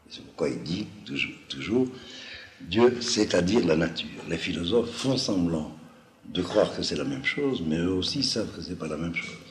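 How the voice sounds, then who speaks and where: A man with a low voice and a slight Toulouse-like accent, a native speaker of Judeo-Arabic, lectures off the cuff. He explains didactically and haltingly, repeating phrases for emphasis. The room echoes around him, with faint noise behind. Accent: slight southwestern French (Toulouse-like). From Algeria. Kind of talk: extemporaneous lecture to listeners. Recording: roomy echo, faint background noise.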